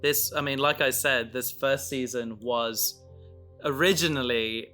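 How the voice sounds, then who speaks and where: Bright-voiced man in casual podcast chat. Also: mid-range voice, a little slow, soft background music. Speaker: writer and maker of an audio drama, co-host.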